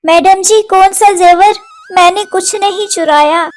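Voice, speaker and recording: A woman's voice: very high voice, steady tone, a little slow, faint music behind. A woman voicing a meek, pleading poor mother bird who works as a maid in a cartoon story. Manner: humble, pleading. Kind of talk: Hindi cartoon story dialogue.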